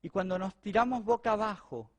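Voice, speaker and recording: A middle-aged Argentine man, a pastor, telling a personal testimony during a sermon in a lively, conversational way. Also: high-pitched, fairly quick, no room sound. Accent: Argentine Spanish. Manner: animated, conversational storytelling to a congregation.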